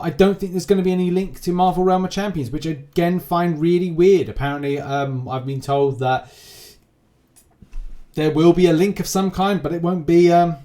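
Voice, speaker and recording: A man's voice: fairly high pitch, mellow. Male gaming channel host, informal and unscripted, talking in loose, repetitive, rambling commentary.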